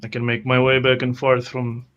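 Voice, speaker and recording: A man's voice: mid-range voice, monotone, moderate pace, a bit bright, dull audio. A man improvising in character as a tabletop roleplay player, with polite, formal phrasing.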